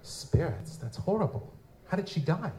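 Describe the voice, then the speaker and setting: A man's voice: fairly high pitch, very animated, moderate pace, warm tone, poor audio. An actor playing a wry, down-on-his-luck lutin private investigator in a live audio drama, with wisecracking, folksy noir storytelling. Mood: hesitant, surprised. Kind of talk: live audio drama, first-person noir narration and dialogue. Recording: music behind the voice.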